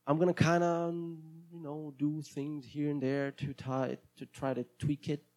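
Gravelly-voiced Brazilian man rambling casually. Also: a little high, little pitch movement, unhurried, a little mellow. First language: Portuguese. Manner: hesitant, rambling, many restarts. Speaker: podcast host. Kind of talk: casual solo podcast monologue.

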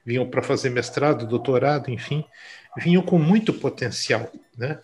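A man's voice: mid-range voice, moderate pace, darkish tone. A Brazilian man in an interview, talking reflectively with many hesitations and fillers. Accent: Brazilian Portuguese. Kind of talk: conversational interview.

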